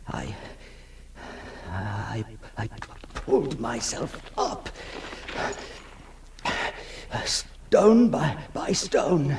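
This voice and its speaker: An actor playing a haunted, lonely male outcast in a halting, theatrical 1960s radio drama monologue, intense and tormented. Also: medium pitch, vocal fry, a little mellow, heavily acted. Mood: desperate yearning. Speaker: actor narrating as the character. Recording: noisy 1960s radio recording.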